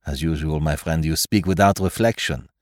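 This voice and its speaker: Storybook sleuth, played by a man: deep voice, unruffled, formal, quietly shrewd. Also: no room sound. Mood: shrewd, assured. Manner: dry, measured, formal. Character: calm, courteous, shrewd foreign male detective.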